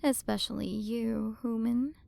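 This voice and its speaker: Woman playing a slow, creaky, haughty alien queen. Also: fairly high pitch, steady tone, darkish tone. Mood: possessive, fascinated. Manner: condescending, flirtatious, drawn-out delivery with breathy drops into whispers. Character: haughty, teasing, possessive alien galaxy queen. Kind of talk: ASMR roleplay, scripted character acting.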